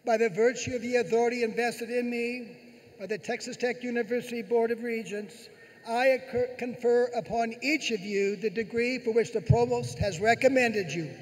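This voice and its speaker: A man's voice: extremely high pitch, steady tone, normal speed. A middle-aged man giving a formal ceremonial address as a university president at a podium microphone in an arena. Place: large indoor arena, at a podium. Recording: echoey arena, noisy ambience.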